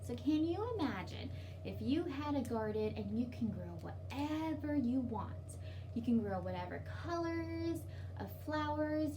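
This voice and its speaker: A woman hosting a children's library story time, speaking in a simple, encouraging, sing-song way to young children. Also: high voice. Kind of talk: children's story time with rhymes, signing and read-aloud. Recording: distant mic, noticeable background noise.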